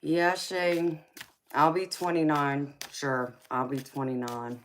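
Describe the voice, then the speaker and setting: A woman in her thirties, with a very deep, low voice, unhurried and relaxed as she reads viewer comments aloud. She laughs often and calls people honey, and a faint hiss sits under her voice. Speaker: tarot reader chatting with live viewers. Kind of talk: casual live-stream chat reading comments.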